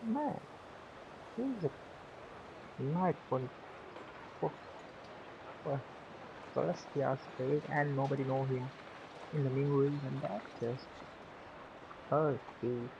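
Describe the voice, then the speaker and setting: Slow, offhand man streaming a game. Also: mid-range voice, creaky, muffled recording, background noise. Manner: informal, offhand. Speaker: livestreamer. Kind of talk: casual commentary while playing a game. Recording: quiet voice under loud game music.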